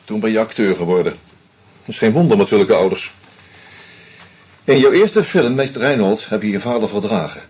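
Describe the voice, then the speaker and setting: Casting: a sardonic, boozy narrator in a radio play, lowish voice, very breathy-husky tone. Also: male voice, lively intonation, darkish tone. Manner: slow, sardonic, confronting. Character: drunk bitter man exposing a murderer. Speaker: Hans Troebo, narrator. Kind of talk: first-person radio drama narration.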